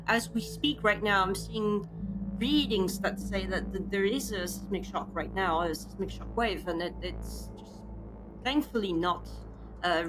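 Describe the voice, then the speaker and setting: A woman's voice: mid-range voice, close to an everyday voice, poor audio. Woman as grave female doctor for audio roleplay; hesitant, formal warnings, music underneath. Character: worried scientist, a woman doctor warning of disaster.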